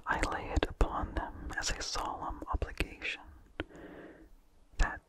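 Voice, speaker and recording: A person reading a vintage horror story aloud for ASMR in a hushed, breathy whisper with slight mouth sounds, slow and deliberate.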